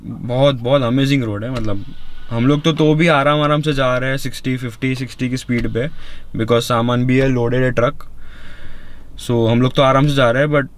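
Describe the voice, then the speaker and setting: A man's voice: mid-range voice, normal speed, slight background noise. A young man chatting casually about a road trip, filming himself at arm's length inside a pickup truck cab. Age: young adult. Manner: relaxed, chatty, mixing Hindi and English. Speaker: travel vlogger. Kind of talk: casual travel vlog. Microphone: camera held at arm's length.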